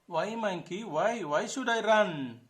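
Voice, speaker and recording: A dramatic, doubtful monkey from a children's puppet show, played by a man. The voice is high and swoops widely, drawing words out very slowly between loud exclamations. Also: animated, exaggerated voice. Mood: startled, questioning. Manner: exclamatory, questioning.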